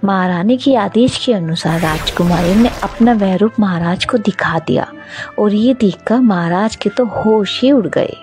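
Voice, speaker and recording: A woman's voice: medium pitch, unhurried, a little mellow, faint music behind. A woman reading scripted narration for a cartoon fairy tale.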